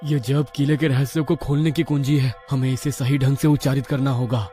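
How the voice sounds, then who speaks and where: A man playing a determined young adventurer in a scripted audio story, earnest and deliberate. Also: mid-range voice, flat delivery, mellow, soft background music, close to an everyday voice. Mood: focused.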